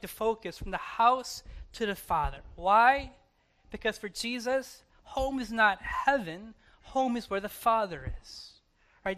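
A pastor preaching a sermon emphatically, moving between hushed and forceful delivery, with repetition and rhetorical questions. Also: medium pitch.

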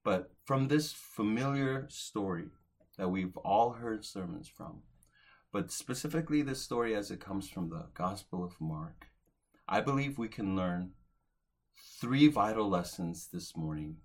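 A man in his forties preaching a sermon as a pastor, earnest, lifting to emphatic peaks and then dropping soft at the ends of phrases. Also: on the low side, expressive, measured pace. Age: 40s.

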